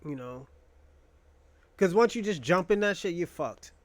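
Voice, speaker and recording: A man's voice: sits high, lively intonation, measured pace, no echo. A young man hosting a casual monologue, rambling and joking in a crude, profane way. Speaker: podcast host.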